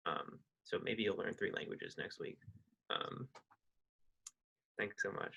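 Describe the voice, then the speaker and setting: A young man wraps up a student club meeting on a video call. His voice is low and gravelly with a bright edge, and his manner is loose and friendly. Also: slow. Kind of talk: casual announcements.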